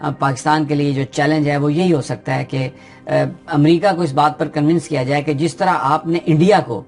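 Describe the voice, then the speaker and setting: A man with a Pakistani Urdu accent explains political news in an unscripted, steady way, often pausing with "uh" as he thinks. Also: fairly high pitch, vocal fry, soft background music. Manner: steady explanatory delivery with frequent hesitations.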